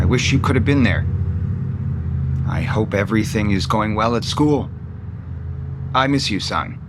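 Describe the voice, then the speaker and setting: Low-voiced halting father in a rumbling car. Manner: halting, dictating aloud. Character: caring, downcast father dictating a message to son. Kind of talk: audio soap opera acting. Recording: rumbling car interior noise.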